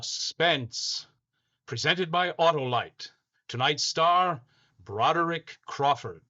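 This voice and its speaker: A man plays a dramatic old-time suspense radio announcer. His forceful, slow voice is portentous, heard in dull lo-fi sound over music. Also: on the high side, dry recording. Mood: ominous, grand. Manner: portentous, deliberate.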